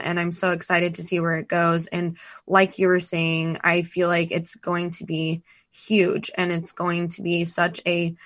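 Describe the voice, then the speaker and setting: A woman with a raspy voice, chatting enthusiastically as a podcast guest and laughing often. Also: lowish voice, a bit bright. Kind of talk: casual podcast chat.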